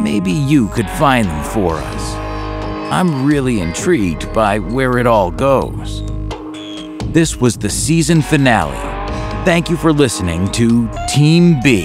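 A man narrating a scripted audio drama as a dramatic storyteller who addresses listeners directly. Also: medium pitch. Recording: music bed under narration. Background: guitar music.

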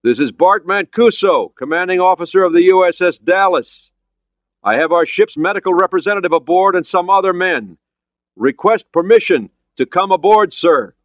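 A man voicing a confident American submarine captain in an audiobook, giving brisk, plain-spoken military orders. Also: deep voice, lively intonation, no room sound, dull audio. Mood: commanding.

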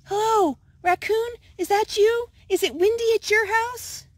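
A woman's voice: extremely high pitch, measured pace, dry recording, voice pushed up. A woman voicing a frightened, needy male skunk from a children's storybook, asking anxious questions. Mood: anxious, fearful.

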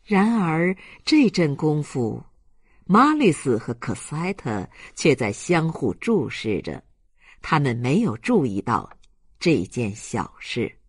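A male audiobook narrator reads literary fiction with lively, varied intonation. His voice is husky and recorded dry and close. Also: fairly high pitch, slow pace, no echo. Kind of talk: dramatic literary narration of a novel.

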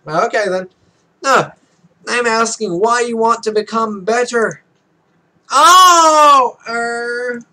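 A man's voice: very high voice, takes it slowly, pushed and bright. A young man reading a visual novel aloud as a let's play host, offhand, stumbling over lines and adding casual, sweary commentary. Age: young adult.

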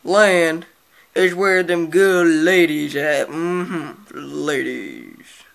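A goofy, easygoing manatee played by a man who drawls out his words slowly. His voice is gravelly and bright, and it sits a little high for a man. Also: lively intonation, heavily acted. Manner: slow, drawling, gravelly delivery. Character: easygoing manatee who lives on land, male.

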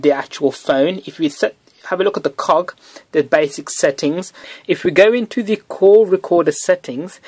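Man, casual tech tutorial, slightly high voice, dry close sound, lots of ums. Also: no echo. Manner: relaxed, chatty, many fillers. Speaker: tech video host. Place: indoors at a desk.

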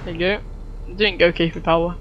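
A woman's voice: lowish voice, steady tone, fairly quick, highs cut off, heavy background noise. A woman giving casual gameplay commentary in an offhand, muttering way. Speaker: gamer. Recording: loud game audio and music behind. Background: video game music and sounds.

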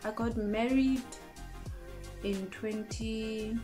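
A woman's voice: a little high, steady tone, very slow, music in the background. A young woman in her twenties from South Africa, chatting casually and rambling into her phone camera in a small room. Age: 20s. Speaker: vlogger. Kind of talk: casual get-to-know-me chat. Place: small indoor room, in front of cupboards. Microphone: phone camera at close range.

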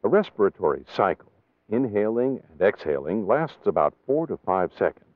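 A man with an American accent reading scripted training-film narration in a measured, formal, precisely enunciated way. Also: lowish voice, sing-song, no room sound, narrow, tinny audio.

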